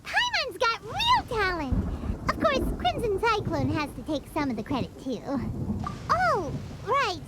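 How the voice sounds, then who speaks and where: An actress voicing a tiny sassy floating companion in a video game, teasing and talking about herself in the third person. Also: female voice, very high-pitched, wildly swooping pitch, unhurried, heavy background noise, heavily acted. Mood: cheeky. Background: game music.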